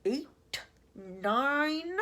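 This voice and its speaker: A woman reading aloud gives voice to a booming, commanding male voice from a loudspeaker, speaking loudly and sternly. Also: lively intonation, drawn-out words, heavily acted. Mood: booming, threatening. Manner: loud, stern, threatening.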